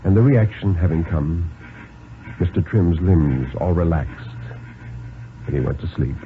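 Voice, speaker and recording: A man gives a theatrical, deliberate dramatic reading of a story in 1950s radio style, with expressive pauses. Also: deep voice, narrow, tinny audio, a little hiss or hum. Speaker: narrator reading a thriller story. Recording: band-limited vintage 1950s radio broadcast.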